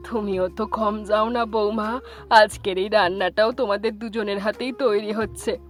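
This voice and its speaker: A woman's voice: mid-range voice, steady tone, normal speed, soft background music, natural voice. A woman voicing a kindly, encouraging old village grandmother in a cartoon story. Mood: warm, approving. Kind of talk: cartoon story dialogue in Bengali.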